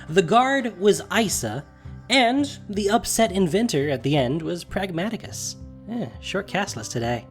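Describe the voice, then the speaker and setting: A narrator reading a scripted story in an expressive, deliberate storytelling manner. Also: medium pitch. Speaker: narrator and producer. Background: music.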